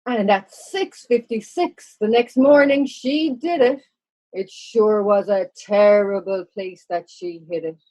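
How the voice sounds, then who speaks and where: Lady reading a rhyming kids story, kinda high husky voice, muffled lo-fi sound. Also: a little mellow. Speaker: storybook narrator. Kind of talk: scripted rhyming children's story narration.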